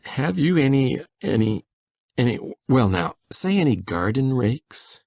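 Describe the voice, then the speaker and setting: A man playing a shy, flustered, gentle middle-aged country bachelor farmer in an audiobook drama, gravelly and stammering. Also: medium pitch, big pitch swings, measured pace, no echo, studio quality. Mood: flustered, hesitant. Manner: stammering, hesitant.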